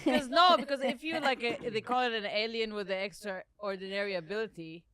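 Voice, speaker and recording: Israeli-accented woman comic, Hebrew native; smooth, clear tone, hunts for words. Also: fairly high pitch, bright, forceful tone, dry recording. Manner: halting, self-deprecating, searches for English words. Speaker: comedian guest. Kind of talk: casual podcast chat.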